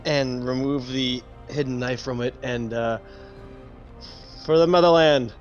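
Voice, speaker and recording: A man's voice: medium pitch, steady tone, measured pace. Muffled lo-fi male tabletop gamer. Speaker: player in a tabletop game. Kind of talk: casual in-game play talk. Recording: dull lo-fi mic, music behind.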